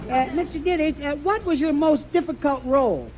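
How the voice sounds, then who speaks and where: An actor playing a meek, dim-witted middle-aged husband, hesitant and stammering, in old-time radio comedy. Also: male voice, very high voice, brisk, crowd noise. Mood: sheepish. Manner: flustered, stammering, nervous chuckles, hesitant. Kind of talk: 1940s radio comedy acting. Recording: dull, noisy vintage radio, audience behind.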